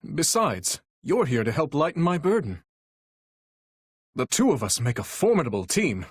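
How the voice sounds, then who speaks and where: A man voicing an earnest, courteous young warrior king with a heavy burden for a video game, speaking in formal, measured, courtly diction with a husky voice. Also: fairly high pitch, very animated, no room sound, studio quality. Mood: resolute. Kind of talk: video game character voice lines.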